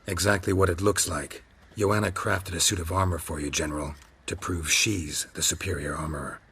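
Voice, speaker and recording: Gruff monster hunter, rock-bottom pitch, very hoarse rasp, laconic, deadpan, fantasy video game. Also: male voice, normal speed, heavily acted. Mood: dry, matter-of-fact. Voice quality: gravelly, rasping low voice. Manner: terse, dry, deadpan. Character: gruff, laconic male monster-hunting mercenary swordsman.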